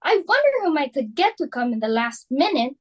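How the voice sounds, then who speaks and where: A young woman reading the part of an excitable, fashionable society matron hosting a dinner party, fussy and emphatic. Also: high voice. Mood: fussing. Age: young adult. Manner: fussy, emphatic, quick to exclaim. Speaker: reader for Mrs. Pringle. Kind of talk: student dramatic reading.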